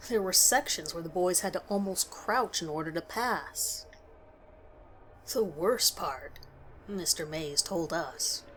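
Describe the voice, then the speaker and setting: Lady reading a creepy horror story, measured n dramatic, mid pitched voice, noise going on behind her. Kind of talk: scripted narration of a scary story.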